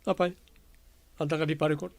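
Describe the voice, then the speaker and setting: A man's voice: fairly high pitch, level tone, fairly quick, no echo, natural voice. A man acting a devoted, earnest young suitor and husband in an Ilocano radio drama, with a Filipino Ilocano accent. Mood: casual, concerned.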